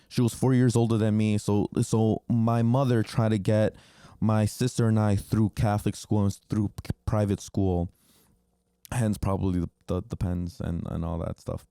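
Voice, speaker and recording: A podcast host in his twenties, a native Spanish speaker, talking alone in a relaxed, candid way, dropping ums and the odd swear word. His voice sits low and sounds smooth and resonant. The recording is dry and close, with no room sound. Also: no echo. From New Jersey, United States. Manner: loose and candid, frequent ums, casual swearing. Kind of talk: casual solo storytelling.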